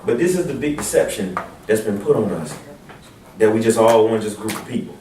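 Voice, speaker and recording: A man's voice: on the low side, lively intonation, a little fast, darkish tone. A man hosting a casual religious discussion, talking animatedly and pushing his points with emphasis. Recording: echoey room, distant mic, noisy.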